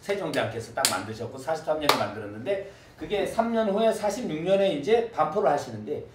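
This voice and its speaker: A man teaching a class, with a lively manner, repeating words for students to echo. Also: fairly high pitch, fast, very noisy. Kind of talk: classroom lecture. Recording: echoey room from a distance.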